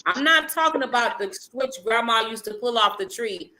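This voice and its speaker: Blunt woman, livestream host, bright forceful tone, emphatic. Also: on the high side, lively intonation, moderate pace, poor audio. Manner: blunt, emphatic, talks over points. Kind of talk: casual livestream discussion.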